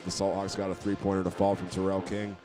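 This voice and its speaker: A man with a fairly low voice delivers radio sports commentary as a play-by-play announcer. His intonation stays flat and even, and his phrasing can be halting. Faint music sits behind him. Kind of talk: radio halftime recap commentary.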